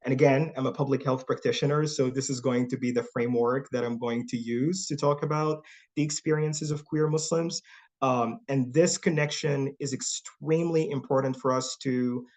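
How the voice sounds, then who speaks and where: This is a young man from Saudi Arabia speaking at an online panel. His voice sits at an ordinary middle pitch. He sounds thoughtful, and his talk is halting, full of pauses and repeated words. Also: steady tone, darkish tone. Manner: thoughtful, hesitant with many fillers and repeats. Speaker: guest speaker.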